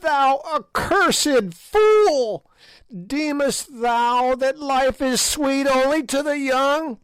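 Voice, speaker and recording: A man's voice: drawn-out words, a bit bright, no echo, heavily acted. A man voicing an ancient, evil witch woman who shrieks and cackles in old-fashioned speech. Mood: ranting. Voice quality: shrill, cackling.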